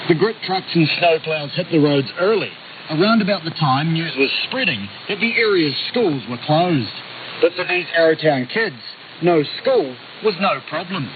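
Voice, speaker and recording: A man narrating a scripted news feature as a reporter. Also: a little high. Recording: tinny shortwave radio, clear hiss.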